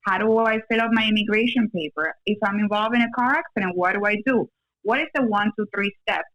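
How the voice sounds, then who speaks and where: A woman raised in Cuba and Venezuela, with Spanish as her first language, speaks in a conversational interview. She is an attorney who explains things plainly in short, simple phrases, at a middle pitch. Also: brisk, fairly bright tone, poor audio. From born in Cuba, raised in Venezuela, in the US since her teens. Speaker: podcast guest, attorney.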